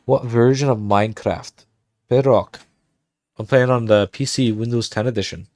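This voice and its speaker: A man's voice: mid-range voice, moderate pace, darkish tone, no echo, clean studio sound. A man livestreaming a game build, talking casually and thinking aloud as he types commands, with a put-on Irish accent. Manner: thinks aloud, muttering commands while typing. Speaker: streamer.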